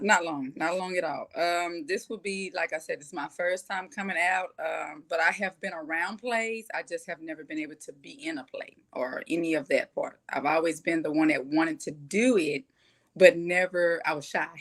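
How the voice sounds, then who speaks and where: A woman's voice: fairly low pitch, animated, normal speed, a bit bright. An American woman giving casual interview answers in a chatty, light-hearted way. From Texas, United States. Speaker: first-time stage actress, interview guest.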